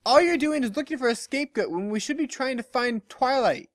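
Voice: sits high, fairly quick, no echo, studio quality, voice pushed up, lightly acted. A narrator voicing a loyal, protective female pony in a story, earnest and firm. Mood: urgent.

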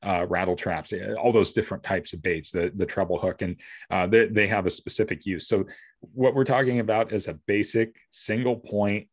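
Deep-voiced American man explaining things casually. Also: fairly even intonation, normal speed, no room sound. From US Pacific Northwest. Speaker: fishing podcast co-host. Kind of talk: casual explanatory talk about gear. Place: indoor room, on a video call.

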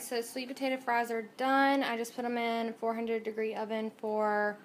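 A woman's voice: medium pitch, slight background noise. A young woman in her twenties chatting casually through her day in a home kitchen, filmed on a handheld phone camera. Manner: chatty, rambling, bubbly. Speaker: vlogger. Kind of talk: casual daily vlog chat. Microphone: phone camera at arm's length.

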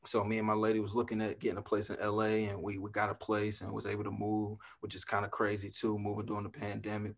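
A man in his thirties talks easily and at length, leaning on fillers and asides. His voice sits a little low. He comes through a video call from a room at home. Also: gravelly. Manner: laid-back, talks freely with fillers and asides. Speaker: actor guest. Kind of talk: casual video call chat.

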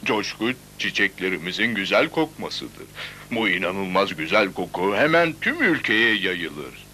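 Casting: animated sidekick guide for a children's cartoon, lowish bright voice, faint music. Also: male voice, measured pace, a little hiss or hum, full character voice. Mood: explaining. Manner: animated, explaining. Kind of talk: Turkish-dubbed children's cartoon acting.

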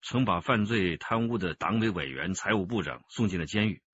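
Husky, low-voiced police chief. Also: male voice, lively intonation, brisk, a bit bright, muffled recording, exaggerated voice. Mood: grave, measured. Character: commanding, measured male police squad chief. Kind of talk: radio drama acting.

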